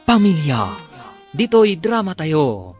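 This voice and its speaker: A man's voice: a little high, wildly swooping pitch, slow. A man with an Ilocano accent narrating a radio drama recap as its announcer. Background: music.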